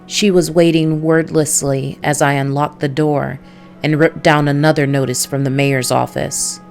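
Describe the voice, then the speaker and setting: A woman voicing a weary, hard-boiled male detective, narrating a noir visual novel in a measured, brooding way. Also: sits low, flat delivery, vocal fry, a little mellow, faint music behind.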